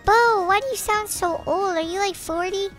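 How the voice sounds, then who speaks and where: A man voicing a whiny, boastful little kid gamer through a pitch-raising voice changer, chattering excitedly during a multiplayer game. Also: normal speed, soft background music. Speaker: video game streamer.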